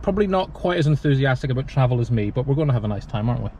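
Casual male vlog host, forties, medium pitch, noisy on-location camera sound. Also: animated, racing, mellow, highs cut off. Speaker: travel vlogger and host. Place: inside a car and a ferry lounge.

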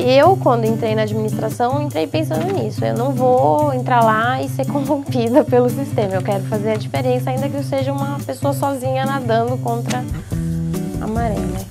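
A woman in her twenties speaking earnestly in a recorded studio interview through a lapel mic. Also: sits high. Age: 20s. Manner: earnest, laughs lightly. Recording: with loud guitar music behind.

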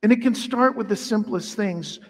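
A middle-aged priest preaches in a lively, conversational way, his voice sitting high for a man. The sound is caught from across a large church sanctuary, with a long reverb trailing each phrase. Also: moderate pace. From Ireland heritage. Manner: animated, conversational preaching with wide arm gestures. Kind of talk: sermon.

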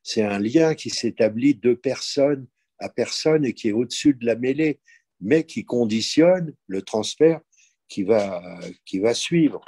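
A man in his seventies speaking reflectively and haltingly as he leads an online discussion, pausing often to think. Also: medium pitch, lively intonation, mellow, no echo. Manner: hesitant, halting, thoughtful. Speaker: discussion host, psychoanalyst. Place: indoor room at home, on a video call.

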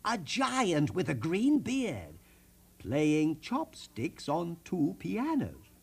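Slow, very animated male storyteller, husky voice. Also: fairly high pitch. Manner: theatrical, deliberate, savoring descriptions. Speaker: storyteller host. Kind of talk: expressive children's storybook reading.

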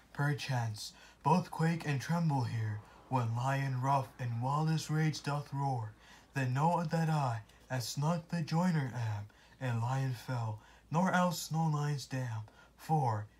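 A timid joiner acting a lion in a puppet play, voiced by a man. The voice is medium in pitch and very slow. The verse is recited deliberately and haltingly. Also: darkish tone, natural voice. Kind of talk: amateur puppet-show Shakespeare verse.

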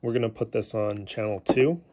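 A man's voice: lowish voice, moderate pace, a little mellow, slight background noise. A man giving a casual, unscripted gadget tutorial, explaining things in a hesitant, rambling way. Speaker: hobbyist demonstrating a gadget. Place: indoors in a living room.

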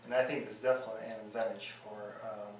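A man's voice: lowish voice, level tone, fast, distant mic, a little hiss or hum. Russian-accented man, off-mic in roomy office. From former Soviet Union. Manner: earnest, hesitant with many fillers. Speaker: dentist. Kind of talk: interview answers. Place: dental office reception area, indoors. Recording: roomy echo, off-mic, music behind.

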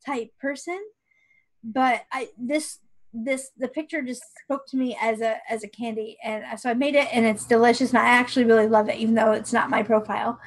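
A woman's voice: fairly high pitch, rough recording. A woman panelist on a video call, presenting a recipe in casual, explanatory talk. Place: bedroom at home.

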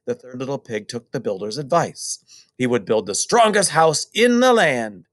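Male storybook reader, middle-aged: deliberate, very lively intonation, close dry sound. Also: medium pitch, no room sound. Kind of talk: expressive children's story narration.

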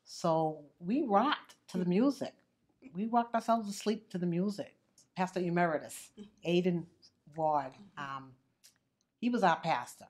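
A woman's voice: on the low side, measured pace, darkish tone. A woman nostalgically recalling growing up above the church, in an interview. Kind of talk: interview reminiscence.

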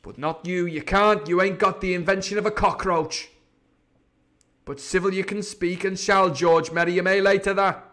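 A man acts out a swaggering pirate sea cook from a storybook, pitched up high and pushed loud. He blusters and commands in rough sailor's speech, with a sardonic edge. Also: fairly even intonation, normal speed. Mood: angry, threatening. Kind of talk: theatrical storybook reading.

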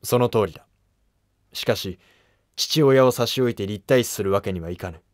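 A man's voice: lowish voice, moderate pace, no echo, studio quality, close to an everyday voice. A man voicing a stern, authoritative emperor who speaks in an archaic, commanding way in a historical drama animation. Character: stern, authoritative Japanese emperor deciding succession.

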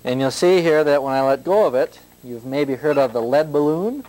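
A man's voice: medium pitch, expressive, normal speed, a little mellow, a little hiss or hum, low-quality recording. A man, a chemistry professor giving a playful, informal science demonstration. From United States. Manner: playful, jovial. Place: school science classroom.

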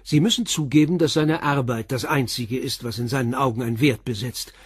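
A man's voice: mid-range voice, brisk, slightly rough, no room sound, studio quality, close to an everyday voice. An actor playing a stern, probing police inspector in a radio drama, pressing his questions bluntly. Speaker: police commissioner.

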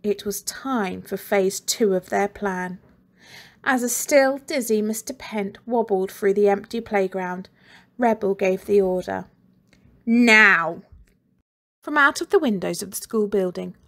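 A woman teacher reads a children's storybook aloud at an unhurried, careful pace. Her voice sits at a middling pitch, and she adds playful sound effects and plenty of expression. The read-aloud is lively and clear for young listeners.

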